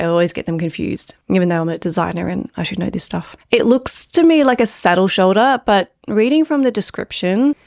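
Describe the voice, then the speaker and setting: A woman's voice: lowish voice, creaky, a little mellow, dry recording, studio quality. Chatty woman, slightly phlegmy voice. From Australia. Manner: chatty, rambling, many filler "like"s. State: phlegmy throat from a cold. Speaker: knitwear designer and host. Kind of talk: casual pattern review chat.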